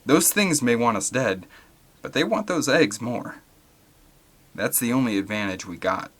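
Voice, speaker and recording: A man's voice: medium pitch, lively intonation, unhurried, fairly bright tone. A man voicing an anxious but pragmatic father, a folksy and persuasive salesman, in an audiobook.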